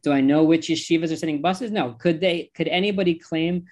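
A man's voice: a little high, brisk, a little mellow. Emphatic American-accented man, rabbi, interview guest. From United States. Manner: impassioned, emphatic.

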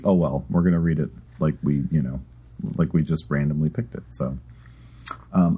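A man's voice: deep voice, dark tone, breathy edge, highs cut off. A man co-hosting a podcast, rambling, interrupting himself, and laughing between words. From Chicago area. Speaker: podcast co-host. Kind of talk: casual podcast chat.

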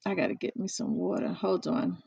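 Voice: medium pitch, fairly even intonation, a little fast, gravelly, darkish tone, slightly rough, no room sound, lo-fi sound, faint music behind. A podcast host chatting casually and easily, often repeating words and drawing them out. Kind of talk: casual live chat.

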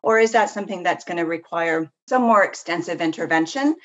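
Woman, lowish voice, measured and earnest expert guest, slightly muffled audio. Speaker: podcast guest, literacy program professional. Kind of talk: explanatory interview answers.

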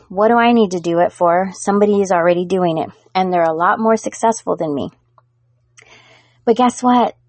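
A middle-aged woman hosts her own podcast, talking straight to her listeners in an earnest, encouraging way. Her voice sits at a middle pitch. The sound is close and dry, with no room around it. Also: no room sound. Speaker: podcast host. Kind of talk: solo motivational podcast talk.

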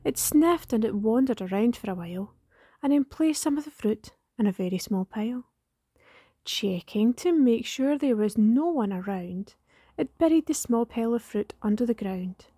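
A woman reading a rhyming poem in a hushed, partly whispered way. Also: on the high side, lively intonation, moderate pace, slightly rough, no room sound, studio quality. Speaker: library staff member reading a poem. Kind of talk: poetry reading.

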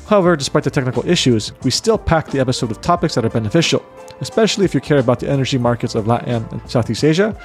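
A man hosting an interview podcast, reading a measured intro and then asking questions in a friendly, chatty way. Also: mid-range voice. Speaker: podcast host. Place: Kuala Lumpur.

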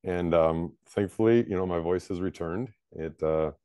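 Deep-voiced, chatty man on a podcast. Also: normal speed, dark tone, clean tone, dry recording, studio quality. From United States. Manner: chatty, many fillers, laughs often. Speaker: co-host, pastor and book editor. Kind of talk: casual podcast discussion.

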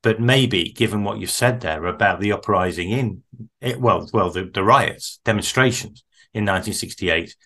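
A man hosting a podcast, interviewing a guest and then narrating the close in an earnest, measured way. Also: lowish voice, pushed and bright.

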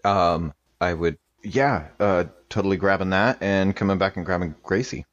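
A man's voice: deep voice, normal speed. A man playing a tabletop game, talking casually as he role-plays his character's actions. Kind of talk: casual role-play chat. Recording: dull, lo-fi voice track.

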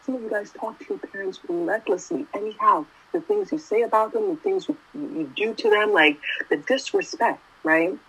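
A woman's voice: mid-range voice, normal speed, a bit bright, slightly rough, low-quality recording. A woman in her twenties giving a casual sermon, talking conversationally and often asking "right?". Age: 20s. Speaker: youth preacher.

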